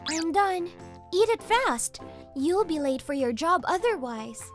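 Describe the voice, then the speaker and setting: High-pitched nonchalant young student played by a woman. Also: unhurried, exaggerated voice. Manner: flat, offhand. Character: nonchalant young female university student, guarded niece. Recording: music behind the voice.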